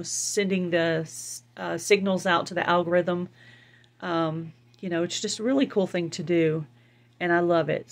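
Woman chatting casually as a vlogger. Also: on the low side. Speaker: video channel host. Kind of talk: casual vlog chat. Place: indoors, filming a laptop screen.

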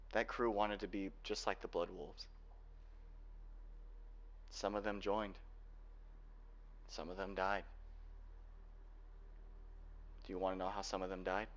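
A young man playing a guarded, protective cyberpunk hacker boyfriend in an ASMR roleplay, speaking earnestly and haltingly. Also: low voice, fairly bright tone. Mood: guarded, grave. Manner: earnest, halting delivery with sighs. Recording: dull lo-fi home recording, faint noise.

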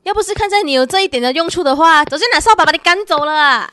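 Radio drama, devoted young girlfriend acted by a woman, high-pitched, sweet, easily hurt, bright tone. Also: expressive, very fast. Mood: chirpy, casual. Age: young adult.

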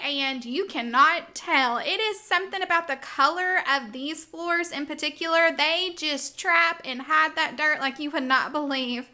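Woman in her 30s narrating her cleaning vlog, friendly chatty voice-over, super bright tone. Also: high voice. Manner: warm, chatty, addresses viewers as friends. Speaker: cleaning vlogger.

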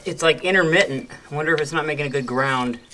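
A man's voice: fairly high pitch, fast talker, very noisy. A man in his thirties narrating casually to camera while working on an old machine in a barn, muttering to himself as he goes. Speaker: restoration vlogger. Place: open-sided metal barn.

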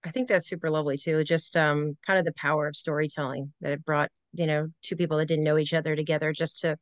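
A woman's voice: low voice, level tone, fast, no room sound. Female interviewer, casual conversational delivery, dry and somewhat muffled video-call sound. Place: indoor room, video call. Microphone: video call microphone. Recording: dull video-call audio.

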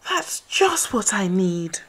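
A woman voicing a grumpy, easily exasperated little male mouse who grumbles and squeaks in a children's storybook. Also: very animated, takes it slowly, fairly bright tone, slightly rough, full character voice. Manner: grumbling, spluttering, squeaky when upset.

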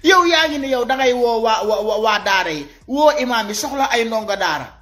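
A man in his thirties with a Senegalese accent giving impassioned, informal video commentary, animated and repeating his points as he exhorts listeners. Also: extremely high pitch, normal speed, soft background music. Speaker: social media commentator. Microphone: phone at arm's length.

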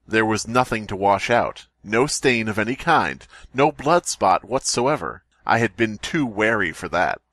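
A man's voice: lowish voice, animated, measured pace, few pauses, a bit bright, dry recording. A man co-hosting a comedy podcast, mixing theatrical story reading with foul-mouthed, teasing banter. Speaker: podcast co-host.

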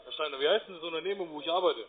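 A man's voice: on the high side, racing, a crowd in the background. A man working as a call centre agent, chatty and politely probing on a sales call. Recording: tinny narrowband phone line.

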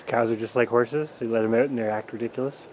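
A man filming on his farm pasture, chatting casually in a relaxed, amused way and chuckling at his animals. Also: mid-range voice, fast talker. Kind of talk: casual chat while filming. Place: outdoors on a farm pasture and barn. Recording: noisy outdoor camera audio. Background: farm animals and outdoor noise.

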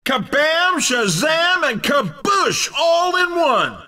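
An actor voicing an excitable, showy racing game commentator with a shouted, punchy delivery. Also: male voice, very high-pitched, slow, far from the mic, full character voice. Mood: thrilled. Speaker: race commentator.

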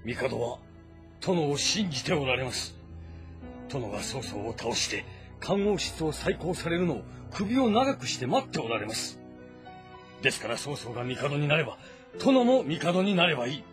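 An actor playing a composed, calculating and loyal strategist in a historical game drama, speaking formally and deliberately. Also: male voice, a little high, sing-song, music in the background. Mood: earnest, persuasive. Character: composed, calculating, loyal male strategist advising his lord.

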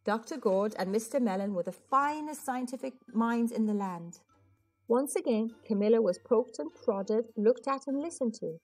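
Woman, high voice, very expressive intonation, children's read-aloud, soft music behind. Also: moderate pace, poor audio. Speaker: storyteller.

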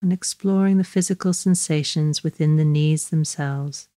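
A woman guiding a body scan meditation in a slow, measured, gently instructive way, leaving long pauses. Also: deep voice, dry recording, clean studio sound. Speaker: mindfulness meditation guide.

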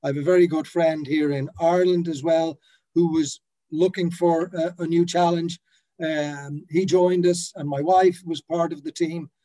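Mellow-voiced Dutch-raised man in his fifties. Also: on the high side, steady tone, normal speed. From Netherlands. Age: 50s. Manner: hesitant, many fillers and restarts. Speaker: brewery founder, guest. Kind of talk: video call interview. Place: office with a brand banner behind. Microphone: laptop webcam on a video call.

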